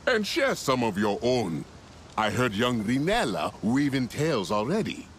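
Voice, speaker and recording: A man's voice: mid-range voice, huge pitch swings, a little slow, a little hiss or hum. A man playing a genial, welcoming alien clansman in a video game, hearty and inviting. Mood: genial, playful. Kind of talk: video game dialogue acting.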